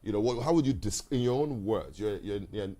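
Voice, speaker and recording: A Nigerian man hosts a live talk show, his voice sitting fairly low with a warm, mellow tone. He talks in a reflective way, often stopping to restart or repeat a word before carrying on. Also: lively intonation, fairly quick.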